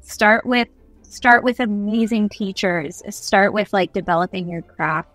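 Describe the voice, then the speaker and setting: A woman's voice: mid-range voice, measured pace, fairly bright tone, dry recording, soft background music. A young adult woman chatting enthusiastically and casually as an interview guest, giving advice on a video call. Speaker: guest voice actor. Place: small indoor room, on a video call.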